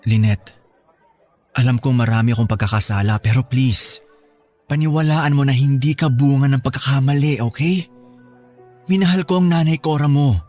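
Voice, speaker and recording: A man's voice: medium pitch, steady tone, normal speed, pauses often, a little mellow, faint music behind, natural voice. An actor with a Filipino accent playing a remorseful middle-aged father, imploring and earnest in a radio drama. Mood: guilty, pleading.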